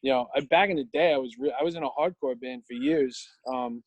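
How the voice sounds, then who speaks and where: A man's voice: mid-range voice, fairly quick, no echo, rough recording. A man in his forties with a New York accent, chatting as a podcast guest in a rambling way, full of fillers and casual swearing. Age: 40s. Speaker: guest, tattoo shop owner.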